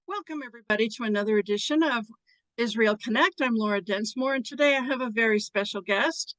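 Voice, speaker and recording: A woman hosts an interview and asks her questions in a friendly, earnest way. Her voice sits a little high, and the recording is dry. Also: fast talker, fairly bright tone, no room sound, rough recording. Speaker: show host. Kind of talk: hosting an interview.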